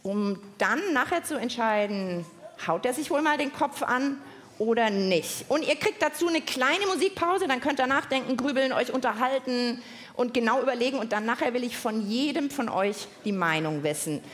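A woman's voice: a little high, expressive, moderate pace. A woman hosting a live children's science show, asking lots of questions in simple, playful everyday words. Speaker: host of a children's science podcast. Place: live on stage at a podcast festival with audience.

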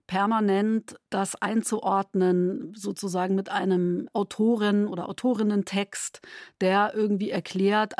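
A woman of medium pitch explains her radio work in an interview. She talks carefully and in a considered manner. The recording is dry, with no room around the voice. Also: darkish tone, dry recording. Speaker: radio play maker.

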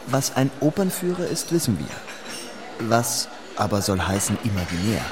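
A man's voice: lowish voice, sing-song, a little slow, noisy background. A man playing a questioning, pointed male character in radio play dialogue. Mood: probing, sceptical. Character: probing, dryly sceptical male interviewer. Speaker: interviewer.

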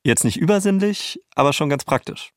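A man's voice: on the high side, animated, brisk, no echo, clean studio sound. A man co-narrating a documentary podcast, giving scripted background explanations with a wry touch. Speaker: co-narrator adding background facts.

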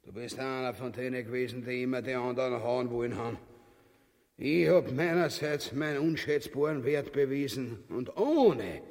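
An actor playing a boastful, cowardly petty tyrant in a grotesque radio comedy, blustering in a southern German-Austrian dialect. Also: male voice, mid-range voice, moderate pace, darkish tone, close to an everyday voice. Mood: boastful, pompous. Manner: pompous, blustering, mock-solemn. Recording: long reverberant hall sound.

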